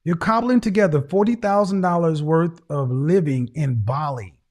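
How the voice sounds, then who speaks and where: A man with a fairly high voice lays into a caller with blunt, lecturing advice, repeating his points for weight. He sounds middle-aged and talks over a video call. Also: moderate pace, a little mellow. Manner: harsh, lecturing, repeats phrases. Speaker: host.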